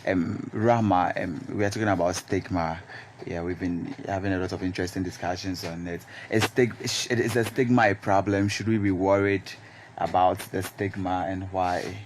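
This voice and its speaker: A man with a Ghanaian accent hosts a radio discussion in a low, deep voice. His delivery is measured and announcer-like, with faint noise behind him in the studio. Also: steady tone. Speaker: radio host.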